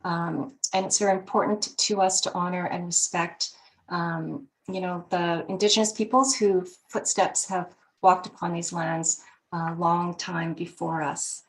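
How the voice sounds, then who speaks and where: A woman, a professor and psychologist, opening a virtual presentation in a welcoming, measured way on a video call. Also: fairly low pitch, steady tone, a little hiss or hum.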